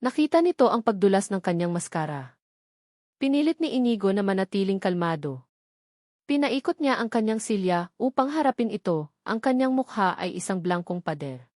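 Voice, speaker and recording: A woman reads a scripted romance drama as its narrator. Her pitch is middling for a woman. The recording is dry, with hardly any room sound. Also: sing-song, moderate pace, a little mellow, no echo. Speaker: story narrator.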